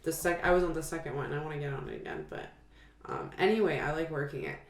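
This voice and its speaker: A woman's voice: low-pitched, quick pace. Rambling woman in her twenties, echoey room. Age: 20s. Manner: rambling, laughs often, many "like" fillers. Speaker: co-host. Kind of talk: casual chat. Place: shared house, indoors. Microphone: table microphone.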